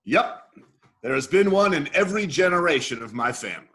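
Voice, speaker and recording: A man's voice: on the high side, expressive, a little fast, pushed and bright. A man in a staged play reading, playing a macho G.I. Joe soldier doll, cocky and protective, with tough-guy endearments. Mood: bashful, sincere.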